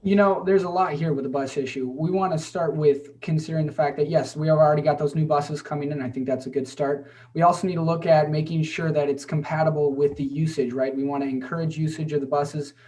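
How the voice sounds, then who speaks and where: A man giving a measured answer as a mayoral candidate on a video call, with steady, repeated framing. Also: on the high side, a little hiss or hum. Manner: measured, repeats "we have to keep in mind". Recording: voice far from the mic.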